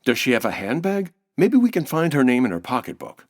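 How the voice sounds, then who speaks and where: An actor playing a dry-witted, brisk male boss in an old-time radio detective comedy. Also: mid-range voice, big pitch swings, no room sound, close to an everyday voice. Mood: businesslike.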